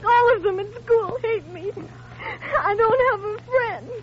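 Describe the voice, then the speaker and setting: A woman's voice: very high voice, a little slow. An actress playing a wounded, lonely teenage girl, crying as she speaks, in vintage radio drama style. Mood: tearful despair. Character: wounded, lonely fifteen-year-old girl breaking down. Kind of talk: 1950s radio drama acting. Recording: muffled, faintly noisy vintage radio recording.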